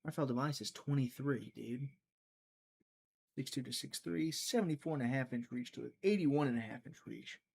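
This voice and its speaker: A man hosting a live prediction stream, chatting casually, thinking out loud and talking to his viewers, sometimes trailing off into a mutter. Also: mid-range voice, normal speed, creaky, slightly rough. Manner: chatty, thinks aloud, talks to chat.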